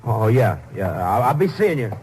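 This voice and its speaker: A man's voice: lowish voice, sing-song, moderate pace, darkish tone. An actor playing a nervous, shifty man hanging around a garage, stammering in a 1950s radio drama. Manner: stammering, hesitant, jumpy. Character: nervous shifty male garage loafer with something hidden. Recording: old radio broadcast with faint noise.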